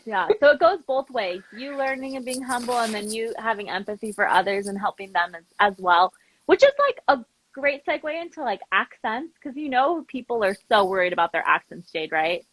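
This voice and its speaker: Female, slightly high-pitched, informal and chatty interview storyteller, very dry sound. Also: animated, brisk, a bit bright, dry recording, low-quality recording. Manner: chatty, informal, acts out dialogue when telling stories. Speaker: interview guest, language program founder. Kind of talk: casual live interview chat, animated storytelling.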